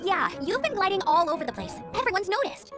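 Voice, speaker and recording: A woman's voice: extremely high pitch, big pitch swings, quick pace, loud music behind the voice. A woman playing a cheerful, eager teenage girl scout in a video game, earnest and informal. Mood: perky, earnest.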